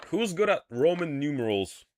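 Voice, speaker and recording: A man from Poland with a mixed British and American accent, chatting and thinking out loud while streaming a game. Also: on the high side, sing-song, moderate pace, a little hiss or hum. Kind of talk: casual gaming livestream chat. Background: music.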